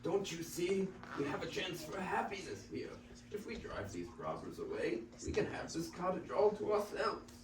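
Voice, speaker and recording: Rallying donkey leader for school stage reading, teenage male: higher-toned, earnest, distant mic, faint room noise. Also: wildly swooping pitch, moderate pace, reverberant. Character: earnest, bossy peacemaking old donkey leader. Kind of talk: classroom reader's theater.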